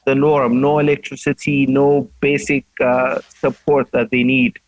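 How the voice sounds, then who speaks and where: Man from Ethiopia, panel speaker, halting with lots of uh's, earnest, dry close sound. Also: mid-range voice, flat delivery, no echo, dull audio. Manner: hesitant, many fillers, earnest. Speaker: panelist, journalist. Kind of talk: panel discussion remarks.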